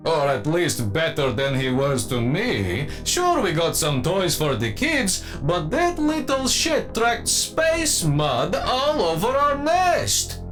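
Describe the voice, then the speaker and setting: Hammy, swooping alien villain dad. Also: male voice, on the high side. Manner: theatrical, rambling, drawn-out delivery. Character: hammy alien villain father, doting yet lecherous. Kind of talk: audio drama comedy acting.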